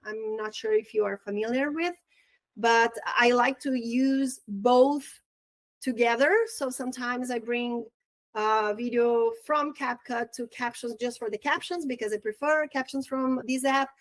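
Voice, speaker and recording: Brazilian woman, portuguese first language, chatty online training, high voice, dry close sound, keeps restarting words. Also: steady tone, a little slow, no echo. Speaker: real estate trainer. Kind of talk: casual webinar training.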